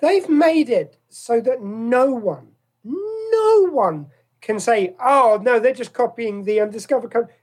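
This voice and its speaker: A man in casual fan chat as a podcast co-host, animated and given to mock exasperation. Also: very high voice, slow, breathy edge.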